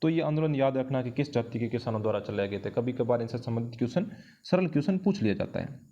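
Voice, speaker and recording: A man's voice: mid-range voice, animated, fast talker, mellow. A man teaching an exam-prep lesson, explaining and repeating key phrases for emphasis, recorded on a dull lo-fi microphone. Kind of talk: history lesson going through quiz questions.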